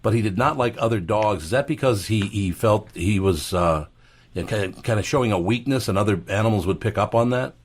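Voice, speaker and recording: Male radio host, lowish voice, rambling, lots of fillers. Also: fairly quick, breathy edge. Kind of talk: radio interview.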